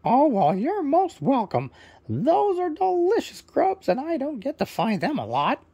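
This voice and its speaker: A man's voice: very high-pitched, wildly swooping pitch, unhurried, creaky, warm tone, no echo, pitched above the performer's own voice, exaggerated voice. A man voicing a cheerful, hospitable male rainbow parrot for a children's bedtime story, eager and welcoming, often repeating his phrases.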